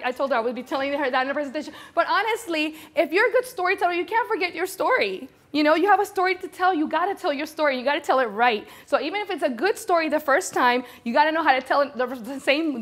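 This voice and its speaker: A woman's voice: high-pitched, a bit bright. A woman from Venezuela giving a motivational business talk to an audience, speaking with enthusiastic, conversational emphasis and repeating words to drive points home. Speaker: conference speaker, brand storyteller.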